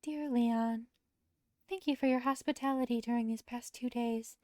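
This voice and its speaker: A streamer putting on a gentle young woman from a visual novel, pitched high and politely formal. She sounds soft-hearted and earnest, in a close, dry recording. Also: steady tone, moderate pace, no room sound, close to an everyday voice. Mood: grateful, formal.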